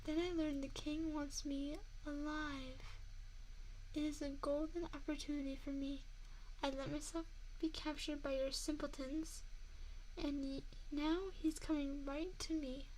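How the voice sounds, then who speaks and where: Woman acting a high-voiced seductive, menacing vampire. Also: flat delivery, measured pace, darkish tone, poor audio. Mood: taunting, scheming. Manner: teasing, taunting, intimate address to one listener. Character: seductive, menacing captive female vampire, mocking and power-hungry. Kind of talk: ASMR roleplay acting. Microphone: close binaural 3Dio microphone. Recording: faint background noise, close binaural mic.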